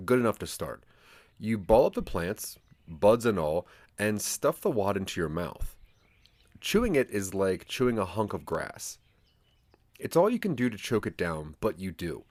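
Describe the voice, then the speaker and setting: A man's voice: lowish voice, sing-song, unhurried, no room sound. A man narrating a scripted audio drama in the second person, with a dramatic storytelling manner.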